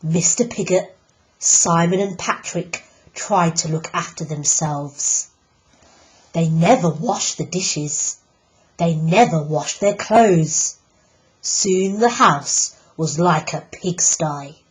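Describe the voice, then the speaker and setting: A woman teacher reading a picture book aloud, slow and deliberate with emphatic pauses. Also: on the low side. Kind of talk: storybook read-aloud.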